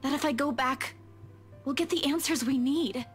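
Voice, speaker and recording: A woman's voice: high-pitched, moderate pace, faint music behind, lightly acted. A woman playing an anxious but determined young woman in a visual novel, hesitant and pleading. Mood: hesitant, uncertain. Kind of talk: dramatic visual novel voice acting.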